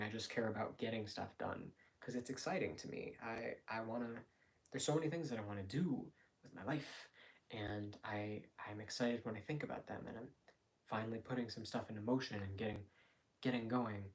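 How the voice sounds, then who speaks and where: A young man of nineteen speaks at a medium pitch in a casual, unscripted way. He thinks out loud, often hesitating and correcting himself, as a streamer does when chatting with viewers. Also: poor audio. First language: English. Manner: rambling, thinking aloud with frequent hesitations and self-corrections. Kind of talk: casual unscripted chat answering viewers' questions.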